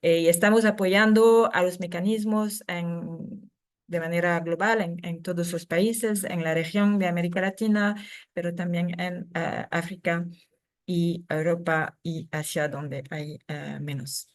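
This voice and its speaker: A woman's voice: lowish voice, steady tone, a little slow. A woman speaking Spanish as a second language, giving a conference talk over a video call, with many fillers and restarts. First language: not Spanish. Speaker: secretary general of a human rights NGO.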